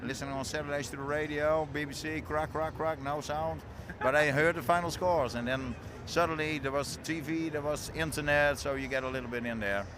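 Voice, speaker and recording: A man from the Netherlands recounts how he became a fan in halting, storytelling English. His voice sits slightly high, with clear outdoor noise around him. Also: steady tone. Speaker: fan guest. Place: outdoors pitchside at a stadium. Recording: noisy outdoor stadium.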